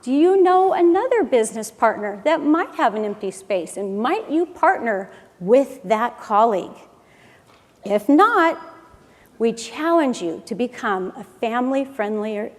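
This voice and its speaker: A woman with a fairly high voice speaks earnestly and upbeat, at times restarting a phrase. Her words ring out through a large conference hall with a long echo. It sounds like a prepared talk from a stage. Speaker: employer presenting at a conference. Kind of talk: prepared conference talk, then answering a question.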